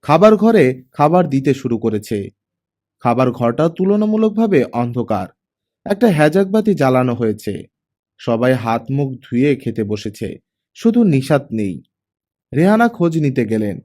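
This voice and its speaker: A man's voice: medium pitch, big pitch swings, a little slow, long pauses, warm tone. A man reading a scripted story as an audiobook narrator.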